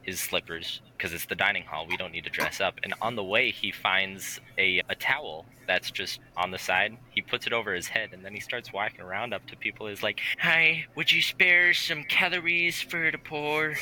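A young man co-hosting in casual chat, telling anecdotes in an animated, amused way. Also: fairly low pitch, normal speed, hardly any pauses, low-quality recording. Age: young adult. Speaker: podcast co-host. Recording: loud noise on headset audio.

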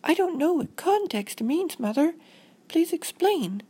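A woman's voice: extremely high pitch, sing-song, unhurried, dark tone, voice pushed up, exaggerated voice. A woman voicing an anxious, literal-minded little girl with a prim, overdramatic manner in a children's story. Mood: timid, prim. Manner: prim, formal address, overdramatic.

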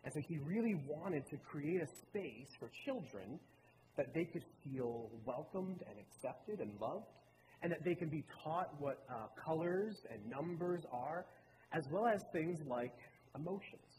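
An earnest man in his late twenties tells personal stories in a relaxed, conversational way, like a speaker at a church service. His voice rings out with a long reverb in a large hall. Steady room noise hums clearly behind him. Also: fairly high pitch, measured pace. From Baltimore, Maryland. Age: late 20s. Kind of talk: personal talk in a sermon.

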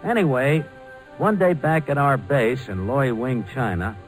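An actor with an American accent playing an easygoing former fighter pilot, narrating colloquially in a 1940s radio drama. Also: male voice, mid-range voice, unhurried, loud music behind the voice, lightly acted. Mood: easygoing reminiscing. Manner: colloquial, conversational. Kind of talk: 1940s radio acting, folksy first-person narration.

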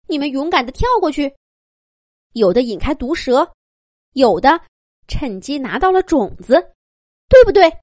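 Female narrator as a young male rabbit, high pitch, very animated, curious, pestering, excitable, storybook character. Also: dry recording, clean studio sound. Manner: insistent, excitable rapid questioning.

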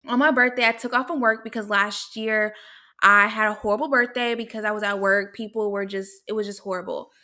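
Bright-voiced chatty woman in her twenties. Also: mid-range voice. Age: 20s. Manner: chatty and upbeat, laughs often. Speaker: podcast host. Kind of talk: casual solo podcast chat.

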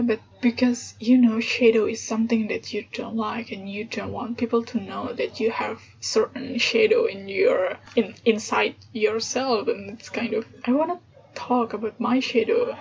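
A woman with an Indonesian accent talks slowly in a husky, breathy voice that sits a little high, pausing often as she thinks aloud. The recording is dull and lo-fi, with a hesitant, casual podcast feel. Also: darkish tone. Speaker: podcast host. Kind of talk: casual solo podcast monologue. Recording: dull lo-fi sound with background noise.

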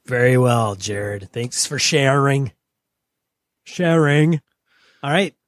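A man's voice: medium pitch, expressive, takes it slowly, no room sound, studio quality. A man co-hosting a casual tabletop gaming podcast, telling stories in a playful, teasing way. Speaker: podcast co-host.

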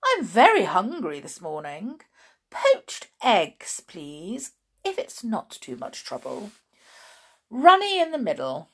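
A woman voicing a polite, fussy, greedy old gentleman tramp in a children's storybook. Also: medium pitch, wildly swooping pitch, slow, slightly rough, exaggerated voice. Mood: hungry, fussy. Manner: fussy, courteous, stammering when flustered.

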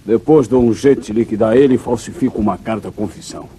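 Guy playing a menacing brazilian bandit boss in an old radio serial, cold and bossy, dark muffled voice. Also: medium pitch, quick pace, a little hiss or hum, close to an everyday voice. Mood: cold scheming. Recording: muffled vintage radio drama recording.

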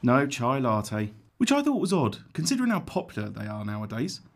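An actor playing a hapless, insecure policeman who dreams of acting, earnest and self-pitying, in a dark comedy audio drama. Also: mid-range voice, huge pitch swings, a little fast.

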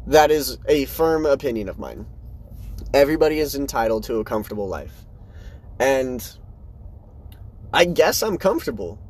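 Young man rambling candidly on his podcast. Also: breathy edge. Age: 20s. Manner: rambling, candid, animated swings in pitch. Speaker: podcast host. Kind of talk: casual solo podcast monologue.

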